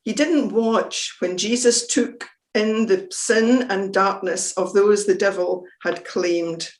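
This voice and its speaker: Woman, church reading, reflective, calm, slow pace, echoey room. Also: medium pitch. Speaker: devotional reader. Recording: roomy echo.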